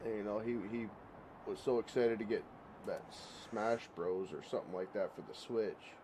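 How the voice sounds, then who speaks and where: Congested man rambling over loud gunfire. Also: fairly low pitch, moderate pace, background noise. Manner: rambling, folksy, repeats words. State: congested with a head cold. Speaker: streamer. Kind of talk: casual gaming livestream chat. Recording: loud game gunfire behind voice. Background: gunfire and vehicles from the game.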